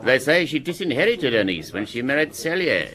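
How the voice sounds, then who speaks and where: Actor as a gossipy village innkeeper, lively intonation. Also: male voice, medium pitch, brisk, crowd noise, natural voice. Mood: dry, gossipy. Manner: dry, knowing, teasing. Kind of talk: radio drama acting.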